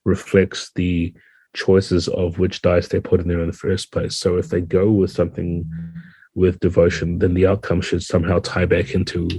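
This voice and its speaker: A man's voice: low voice, completely flat delivery, normal speed, mellow, low-quality recording. A man speaking as an interview guest, thoughtfully explaining game design in a conversational way.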